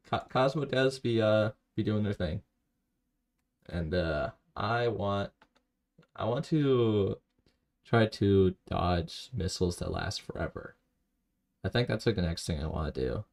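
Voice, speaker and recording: A man streaming a video game, talking to himself in a rambling, low-key way and reading chat aloud. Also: creaky, a little mellow. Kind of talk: casual live-stream commentary while playing.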